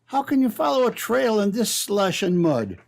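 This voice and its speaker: An emphatic young sled driver, voiced by a man, earnest and high-pitched. The speech is animated and plain, in old radio drama style. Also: normal speed, vocal fry, exaggerated voice. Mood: indignant. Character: young gold-sled driver, earnest, indignant, self-reproaching.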